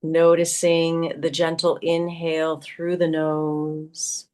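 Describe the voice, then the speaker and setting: Female meditation guide, slightly low register, unhurried and gentle, soothing instruction with long pauses. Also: completely flat delivery. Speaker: yoga teacher. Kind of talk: guided meditation, yoga nidra.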